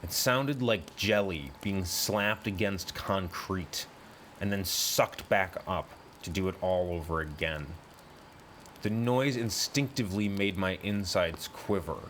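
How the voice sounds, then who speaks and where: A man narrating a first-person horror story in a deliberate, brooding, suspenseful way, as in a dramatic audiobook. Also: low-pitched, a bit bright, slight background noise.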